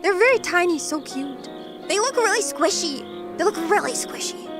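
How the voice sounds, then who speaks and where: A woman from eastern Washington, streaming as a VTuber and chatting casually with viewers, bubbly and quick to giggle. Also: very high-pitched, lively intonation, over music. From eastern Washington, USA. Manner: bubbly and giggly, gasps and laughs often. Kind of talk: casual chat with viewers, reading comments.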